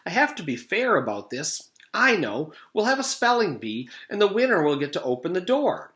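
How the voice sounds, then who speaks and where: Man putting on a brisk, high-pitched woman schoolteacher. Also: big pitch swings, a bit bright. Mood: brisk, encouraging. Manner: brisk, encouraging, exclamatory. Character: chirpy, fair-minded woman schoolteacher addressing young pupils. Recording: echoey room.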